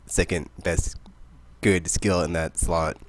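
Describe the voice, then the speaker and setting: A man hosting a podcast, chatting about games in a rambling, excitable way. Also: deep voice, slow pace, a little hiss or hum. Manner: rambling, excitable, laughs often. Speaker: podcast host. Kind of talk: casual gaming chat.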